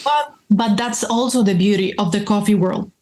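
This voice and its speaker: A woman's voice: medium pitch, normal speed, darkish tone. A woman from Mexico with a Mexican Spanish accent, a podcast guest talking earnestly with frequent ums and restarts. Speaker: coffee business guest. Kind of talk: casual podcast chat.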